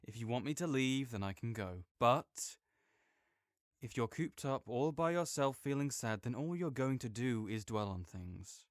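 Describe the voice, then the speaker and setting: A man acts out a caring, easygoing young male friend in an audio roleplay, talking straight to the listener. His voice is smooth and clear, at an ordinary male pitch, and he sounds gentle and reassuring with a light joking touch. The sound is dry and close to the mic. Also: animated, a little slow, no room sound, natural voice.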